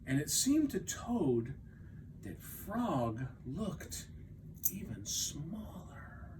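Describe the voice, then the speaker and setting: Very slow, expressive male storytime reader. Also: fairly high pitch, far from the mic, background noise. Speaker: preschool storytime teacher reading aloud. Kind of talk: expressive children's story narration.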